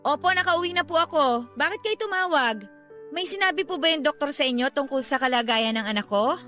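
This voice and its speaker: A woman's voice: high voice, a little fast, faint music behind. A woman playing a weary, patient wife and mother in a Filipino radio drama. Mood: polite, patient. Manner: polite, expressive.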